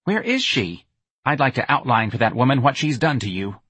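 A man voicing a blunt, intense young woman activist who talks in a flat, direct, slightly hostile way. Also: medium pitch, moderate pace, husky, dry recording, voice pushed up, full character voice. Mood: indignant. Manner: brusque, nagging.

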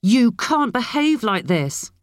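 An angry aunt from an audiobook drama, acted out by a female narrator, scolding and sharp. Her voice sits raised in pitch, with a harsh temper, in a close, dry recording. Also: lively intonation, a little slow, no echo. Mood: furious.

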